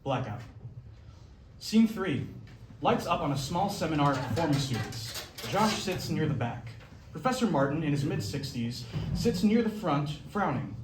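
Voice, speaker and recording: A man's voice: on the high side, big pitch swings, moderate pace, over music. A man reading out stage directions as scripted narration. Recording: echoey room, faint noise.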